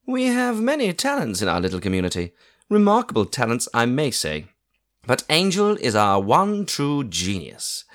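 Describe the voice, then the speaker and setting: A man's voice: mid-range voice, huge pitch swings, a bit bright, pitched above the performer's own voice. A man reading an audiobook, voicing a bossy, proud middle-aged aunt with a scolding, emphatic delivery. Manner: scolding, emphatic, slow stressed words.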